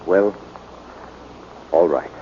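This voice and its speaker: An actor playing a meek, anxious older American man with a hesitant, halting manner, in 1940s radio drama style. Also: low voice. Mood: meek, apologetic. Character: meek, anxious older stepfather making a false confession. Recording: muffled, noisy 1940s radio recording.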